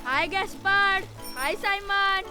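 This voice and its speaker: A performer voicing a lively, competitive young boy animal, an eager childlike friend in a children's cartoon. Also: extremely high pitch, slow pace, music in the background.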